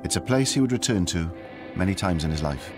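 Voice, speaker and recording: A very deep-voiced man narrates a documentary with calm, measured pacing. Clear music sits under his voice throughout. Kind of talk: scripted narration.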